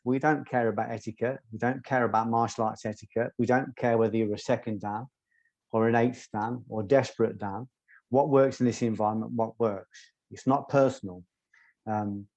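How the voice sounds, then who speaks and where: A soft-spoken man, a guest telling stories in an interview in a measured, articulate way. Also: medium pitch, no room sound. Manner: measured, articulate, occasional ums. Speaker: guest, former doorman. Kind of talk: reflective interview storytelling.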